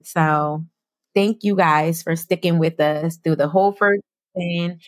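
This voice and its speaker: A woman's voice: low-pitched, fairly even intonation, measured pace, no room sound. Chatty laughing woman podcast host. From New Jersey. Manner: chatty, laughs often, slangy. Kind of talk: casual podcast chat.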